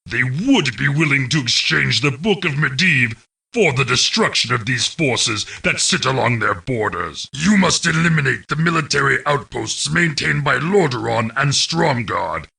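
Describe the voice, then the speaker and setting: A forceful, sharp-edged orc warlord narrates a fantasy game briefing in a deep, heavily gravelled voice. He is stern and ominous, issuing commands with grandiose theatrical weight. The voice sounds set back from the mic. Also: distant mic, full character voice. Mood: stern, commanding. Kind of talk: dramatic scripted mission briefing narration.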